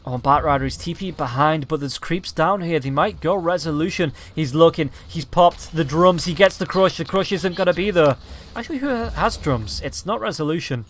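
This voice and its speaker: A slightly hoarse man calling a match in quick, excited play-by-play commentary. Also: fairly high pitch, slight background noise. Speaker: esports caster.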